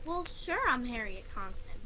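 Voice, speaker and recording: Woman acting an eager, bright, high-pitched comedy woman. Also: lively intonation, moderate pace, low-quality recording. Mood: startled. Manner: emphatic, exclamatory. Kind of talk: old-time radio comedy acting.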